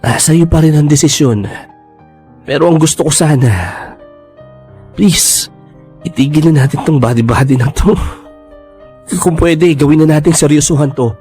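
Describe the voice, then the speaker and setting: An actor with a Filipino accent playing a hesitant young man confessing love in radio drama style, halting and pleading. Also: fairly high pitch, darkish tone, faint music behind, close to an everyday voice. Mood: earnest, tender.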